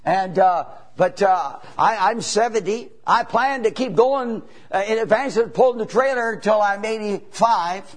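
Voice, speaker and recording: Old evangelist guy in his 70s preaching, high voice, lots of "you know" and "amen". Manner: preaching with rising emphatic bursts and drawn-out pauses; folksy, repetitive, peppered with "you know" and "amen". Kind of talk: sermon.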